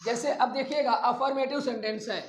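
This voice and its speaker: A man teaching a grammar lesson step by step, repeating phrases deliberately and addressing his students directly. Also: very high voice, steady tone. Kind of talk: classroom lesson, explaining rules step by step. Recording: echoey, roomy sound.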